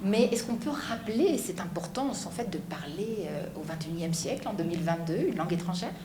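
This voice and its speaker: A woman's voice: on the low side, expressive, a little fast, roomy sound, noisy background. A woman interviewing a guest, asking friendly questions with hesitant fillers.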